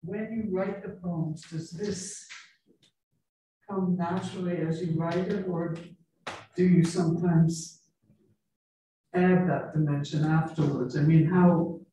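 Slow, dark-voiced audience questioner, echoey. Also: medium pitch, steady tone. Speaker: audience member asking a question. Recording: echoey hall, distant mic, faint noise.

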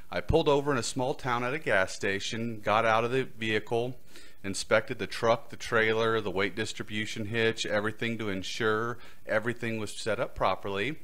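Middle aged guy podcast host, kinda low voice, reading out his story, close mic. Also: a bit bright. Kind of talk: reading a prepared personal story. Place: small studio set indoors. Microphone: close boom-arm microphone.

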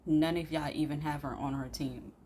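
A woman's voice: sits low, steady tone, normal speed, warm tone, slight background noise. A young adult woman giving casual, slangy, opinionated reaction commentary, prone to exclaiming. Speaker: YouTube reaction host.

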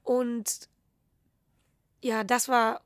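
Young woman, football player, podcast guest, reflective, hesitant, slightly high voice, dry sound. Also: flat delivery, drawn-out words, smooth, no room sound. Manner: reflective, hesitant, searches for words. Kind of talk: casual interview chat.

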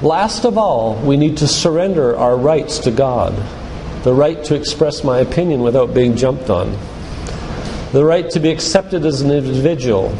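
An older man giving an emphatic, preacherly church lecture, putting rhetorical questions to his listeners. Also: mid-range voice. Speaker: physician giving a faith-based lecture. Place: church, speaking to a congregation. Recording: echoey church hall with background noise.

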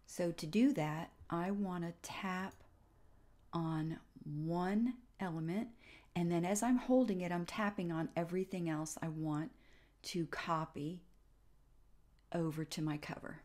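A woman giving casual step-by-step narration for a software tutorial, pausing between short phrases. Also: fairly low pitch, steady tone. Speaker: tutorial host.

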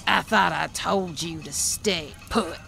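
A woman playing a gravelly, distrustful old lady. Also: on the low side, pushed and bright, faint music behind, full character voice. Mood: gruff, suspicious. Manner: blunt, distrustful, slow drawl. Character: suspicious gruff old woman living alone. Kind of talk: audio drama acting.

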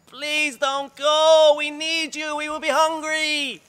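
A man voicing a frightened village woman who begs and pleads in a high, strained voice. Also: a little slow, sharp tone, exaggerated voice. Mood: pleading.

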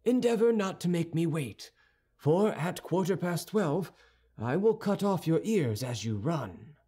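A man voicing a proud, cold, wounded male musketeer who speaks in a haughty, cutting and deliberate way. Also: fairly high pitch, lively intonation, dark tone, slightly rough, pitched above the performer's own voice, full character voice. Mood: cold threat.

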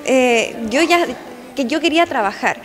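A woman's voice: high voice, expressive, a little slow. A young Chilean woman who is under the weather, talking hesitantly in an interview with many restarts. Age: young adult. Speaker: pageant contestant. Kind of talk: casual interview. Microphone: handheld mic. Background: music.

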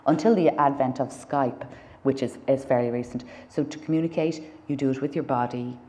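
A woman's voice: rumbling low, brisk, dark tone. An Irish woman in her thirties, an artist talking about her work in a public conversation, thoughtful with many fillers and restarts. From Ireland. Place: dark stage in a gallery lecture hall.

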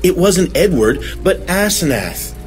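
An actor playing an earnest, troubled husband, recounting strange events emphatically in a horror audio drama. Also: male voice, sits high, very animated, a little slow, faint music behind, rough recording. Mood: insistent.

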